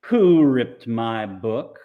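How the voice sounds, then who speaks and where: A man's voice: mid-range voice, expressive, drawn-out words, narrow, tinny audio. A man voicing a feisty, bossy young girl in an audiobook, with an emphatic way of talking. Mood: accusing. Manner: scolding, emphatic. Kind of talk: audiobook character voice.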